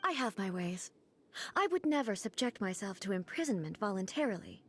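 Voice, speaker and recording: A woman voicing a composed, aloof female ruler in a video game cutscene, speaking in a calm, measured, formal way. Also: medium pitch, very noisy.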